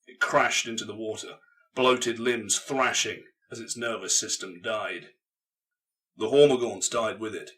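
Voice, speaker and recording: A man narrates grimdark science fiction with dramatic intensity. His voice is fairly low, with a bright, hard-edged force, and sounds somewhat distant from the microphone. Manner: intense, theatrical delivery. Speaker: audiobook narrator.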